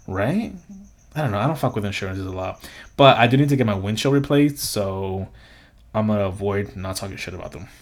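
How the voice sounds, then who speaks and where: A young man hosting a solo podcast, talking in a casual, rambling way, swearing freely and laughing often. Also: on the low side. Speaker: podcast host.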